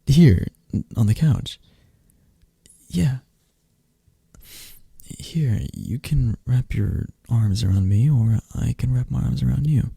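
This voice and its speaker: A man doing a shy, tender best friend who talks softly and stumbles over his words, his low voice dark and gravelly. He takes his time, unhurried and hushed, close and dry on the mic. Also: lively intonation, dry recording, full character voice. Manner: soft-spoken, stammering, gentle. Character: shy affectionate young adult male best friend. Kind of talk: ASMR roleplay.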